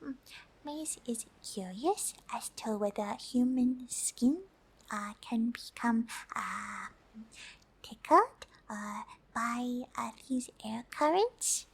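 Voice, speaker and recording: A woman voicing a cute, friendly, curious pink alien in a soft, breathy, gently hesitant ASMR whisper. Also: on the high side, expressive, slow, exaggerated voice. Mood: softly instructive. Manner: gentle, hesitant, third-person speech with careful scientific wording. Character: cute, curious, friendly pink female alien explaining human summer tips. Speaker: host.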